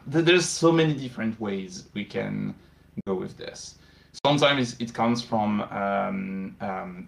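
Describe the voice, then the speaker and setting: A man talks through design choices in a casual podcast interview. His voice sits low with a gravelly edge, and he speaks slowly. He hesitates often, filling gaps with ums and starting sentences over. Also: fairly bright tone. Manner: hesitant, many fillers and restarts. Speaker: game designer guest.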